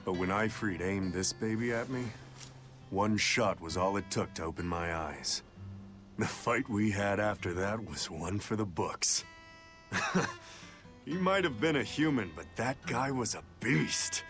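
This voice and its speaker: An actor playing a brash, hot-blooded roguish fighter in a video game, cocky and slangy, with music underneath. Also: male voice, on the low side, animated, a little slow, fairly bright tone. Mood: reflective, gritty. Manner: cocky, rough slangy talk. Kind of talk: English video game dub acting.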